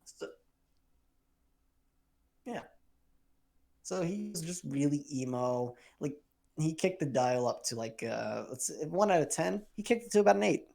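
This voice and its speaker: A man's voice: on the high side, animated, measured pace, creaky, long pauses, a little mellow. A young man co-hosting a podcast, chatting crudely and casually, rambling, swearing and trailing off mid-sentence. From Filipino heritage. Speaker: podcast co-host.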